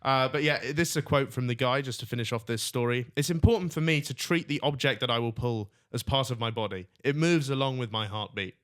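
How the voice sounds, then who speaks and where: A man's voice: mid-range voice, brisk, hardly any pauses, fairly bright tone. A man co-hosting a casual comedy podcast, joking around and running a quiz game. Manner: jokey, runs a quiz segment. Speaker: podcast co-host.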